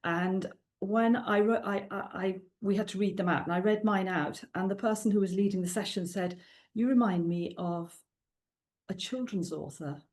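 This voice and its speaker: A woman's voice: mid-range voice, moderate pace. A middle-aged woman from South Wales with a Welsh accent, a native Welsh speaker, chatting as a guest in an interview, with frequent ums and laughs. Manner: warm, chatty, laughs often, many ums. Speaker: guest author. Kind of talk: casual interview chat.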